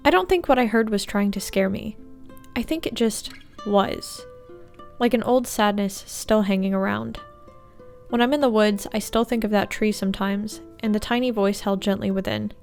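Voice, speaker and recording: A woman with a congested, stuffy nose tells spooky tales in a calm, measured way. Her voice has a gravelly, creaky edge, and soft guitar music plays faintly behind her. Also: medium pitch. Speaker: gaming channel host. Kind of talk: reading horror stories aloud while gaming.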